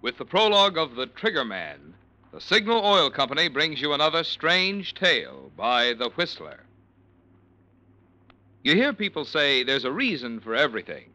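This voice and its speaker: A man's voice: a little high, huge pitch swings, normal speed, bright, forceful tone, poor audio. A man announcing a sponsor's program in 1940s radio style.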